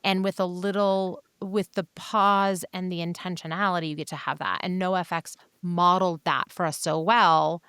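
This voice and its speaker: A woman co-hosting a podcast, talking in a thoughtful, measured, reflective way. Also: lowish voice, fairly even intonation, a bit bright, smooth, no room sound. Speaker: podcast co-host.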